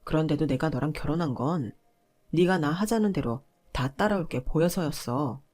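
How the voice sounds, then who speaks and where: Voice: medium pitch, moderate pace, a little mellow, lightly acted. A narrator voicing an arrogant, domineering young husband in a marriage story, scornful and confrontational. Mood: smug, dismissive.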